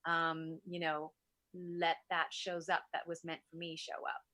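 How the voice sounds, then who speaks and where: A woman's voice: sits low, monotone, measured pace, pushed and bright, dry recording. A middle-aged woman from Vietnam, a native Vietnamese speaker, talks effusively and enthusiastically as a guest in a conversational interview. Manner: effusive, enthusiastic, frequent rhetorical asides. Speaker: interview guest, wellness entrepreneur.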